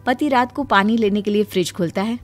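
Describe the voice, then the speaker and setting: A woman's voice: medium pitch, a little fast, faint music behind. A woman reading scripted narration as the storyteller of a moral tale.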